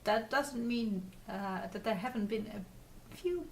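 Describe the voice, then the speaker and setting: A woman's voice: mid-range voice, takes it slowly. Distant-miked woman with a Dutch accent, slight cold. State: recovering from a cold. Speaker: podcast host. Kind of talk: casual chatty craft vlog. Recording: distant mic with faint noise.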